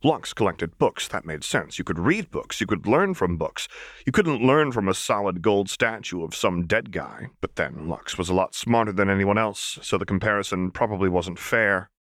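Man, low voice, audiobook narrator, expressive scripted fiction, wry delivery, close dry recording. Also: moderate pace, no echo.

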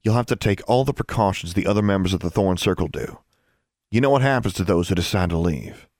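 A man acts out a young male wizard for an audiobook. He is a protective older brother with a quick temper. His words come out snapped or growled, recorded dry and close. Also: deep voice, expressive, a little mellow, dry recording. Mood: grave, pleading. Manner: growling, snapping, sighing through clenched teeth.

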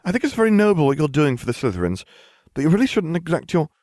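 Male narrator as a precise, concerned girl of twelve for a fantasy audiobook; higher voice, animated, very dry sound. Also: fast talker, no room sound. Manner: earnest, softly insistent. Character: earnest, bookish twelve-year-old schoolgirl, concerned and precise.

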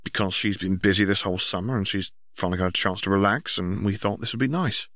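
A man's voice: sits low, quick pace, fairly bright tone, no echo, studio quality. A man voicing a protective, earnest older brother, a young man, in a story reading. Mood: earnest, firm.

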